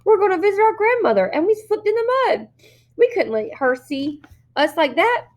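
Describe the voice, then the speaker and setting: Little girl, acted by a woman, high-pitched, sugary, drawn-out innocent pleading, cunning, storybook character. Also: sing-song, a little mellow. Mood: sweetly pleading.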